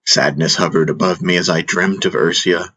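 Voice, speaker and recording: A man's voice: sits low, fairly even intonation, normal speed, sharp tone, a little hiss or hum. A man narrating a fantasy audiobook in the first person, in a solemn, dramatic manner.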